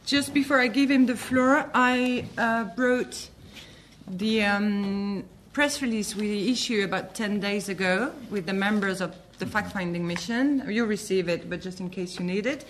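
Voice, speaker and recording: A woman's voice: medium pitch, a little slow. Woman press officer, formal and polite, introduces the speaker; audible background noise and clicks. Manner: polite, formal, apologetic, with fillers. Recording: noisy room with clicks.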